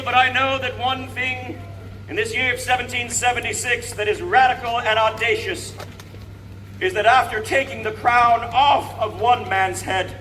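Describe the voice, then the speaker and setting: An actor playing an eighteenth-century American statesman, a solemn and fervent orator declaiming grandly and deliberately to the public in a historical reenactment. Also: very high voice, pushed and bright, heavily acted. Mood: proud, earnest. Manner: grand, emphatic, deliberate phrasing projected to a crowd. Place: outdoors before a crowd, speaking from a balcony. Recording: outdoor crowd recording from a distance, noisy.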